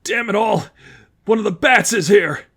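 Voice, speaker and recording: Man playing a hulking thug, higher-pitched, blunt, hot-tempered, easily alarmed, audiobook crime story. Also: normal speed, gravelly, fairly bright tone, husky, full character voice. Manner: blunt, cursing, agitated. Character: big, gruff, hot-tempered male henchman, easily alarmed.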